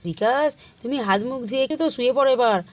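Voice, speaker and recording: A woman voicing a protective, bossy village mother in a children's cartoon, fussing and hushing in colloquial talk. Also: high voice, very fast, close to an everyday voice. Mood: urgent, scolding.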